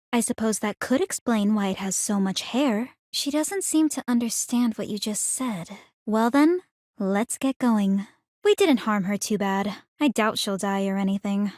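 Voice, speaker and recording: An actress voicing a calm, clinically curious young woman in a video game, speaking in a formal, measured, coolly teasing way. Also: on the high side, no echo, studio quality, lightly acted. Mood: detached, faintly menacing. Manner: composed, measured, formal, inquisitive. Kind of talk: scripted video game character voice lines.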